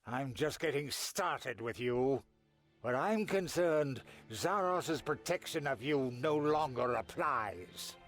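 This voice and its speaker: Sly, slow, swooping game villain. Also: male voice, mid-range voice, lively intonation, a bit bright, faint music behind. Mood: threatening, sly. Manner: mocking, drawling, theatrical. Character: sly, spiteful male villain with theatrical menace. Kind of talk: scripted fantasy game dialogue.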